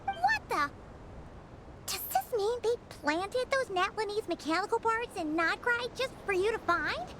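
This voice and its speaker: Super high squeaky lil game companion, excitable, swoops around. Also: female voice, measured pace, a little hiss or hum, heavily acted. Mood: astonished. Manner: squeaky, astonished. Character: tiny excitable high-pitched sidekick, full of questions. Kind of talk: video game voice acting.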